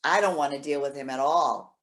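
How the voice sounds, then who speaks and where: A woman's voice: low voice, fairly bright tone. A woman playing a stubborn, lovelorn elderly mother in a readers theater drama, with a curt, sullen way of talking. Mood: sullen, reluctant. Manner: curt, sullen, halting when pleading. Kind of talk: readers theater stage acting.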